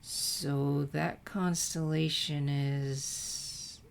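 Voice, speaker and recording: A guarded teenage girl from a story reading voiced by a woman, lowish and dry. She mutters thoughtfully, with a hesitant, deadpan delivery. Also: drawn-out words, gravelly, a little hiss or hum, heavily acted. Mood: muttering, searching.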